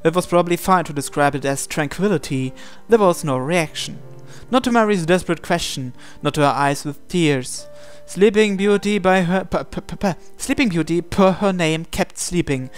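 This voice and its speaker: A man's voice: high voice, moderate pace, few pauses, clear, resonant tone. A man reading a visual novel aloud as narration, sometimes stumbling and repeating phrases. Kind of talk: dramatic read-aloud narration with asides. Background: game music.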